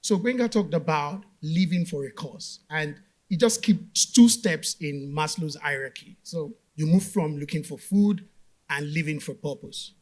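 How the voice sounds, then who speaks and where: A man from Nigeria talks on a conference stage, bluntly pushing back on a question before building to firm points. His voice sits a little high for a man. He sounds like a panelist speaking to a live audience. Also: unhurried. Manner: direct, challenges premises, then builds to emphatic points. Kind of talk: motivational panel talk.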